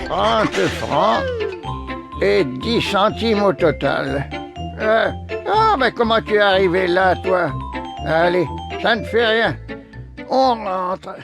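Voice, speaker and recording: Whiny old grandpa from a cartoon game, dramatic, voice goes all over, music under it. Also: male voice, high voice, sing-song, takes it slowly, heavily acted. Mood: muttering. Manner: melodramatic, grumbling. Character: grumbling, theatrical old grandfather, easily amazed. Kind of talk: cartoon video game voice acting.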